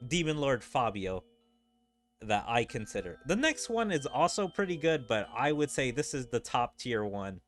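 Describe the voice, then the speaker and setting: A man's voice: medium pitch, sing-song, normal speed. Casual male gaming streamer over music. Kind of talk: casual game commentary. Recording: game music behind the voice. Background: video game music.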